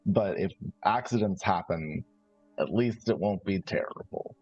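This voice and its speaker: Deep-voiced male tabletop player, husky, hesitant and conversational, thinking out loud on a somewhat muffled mic. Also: measured pace, dry recording, soft background music. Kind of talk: casual out-of-character game discussion. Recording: slightly dull, lo-fi microphone sound.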